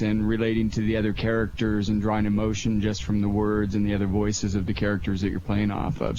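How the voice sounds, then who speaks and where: A man's voice: on the low side, darkish tone. Earnest man on a noisy line. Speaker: guest actor and producer. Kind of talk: casual interview talk. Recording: dull lo-fi line with clear noise.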